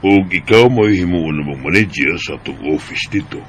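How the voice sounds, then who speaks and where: A man's voice: low-pitched, moderate pace, slight background noise, close to an everyday voice. A man with a Bisaya Filipino accent playing a genial, authoritative middle-aged office boss in a radio drama. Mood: approving.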